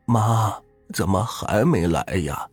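A man voicing a frail dying old woman in a spoken ghost story, talking slowly in a trailing elderly way. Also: on the low side, lively intonation, slightly rough, dry recording, soft background music, voice pushed up, heavily acted. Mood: dazed.

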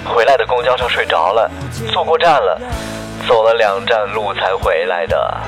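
An actor in a radio drama playing a young aspiring singer, an affectionate but tired boyfriend who speaks coaxingly. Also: male voice, fairly low pitch, moderate pace, fairly bright tone, close to an everyday voice, rough recording. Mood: tired, pleading. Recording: music behind the voice. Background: background music.

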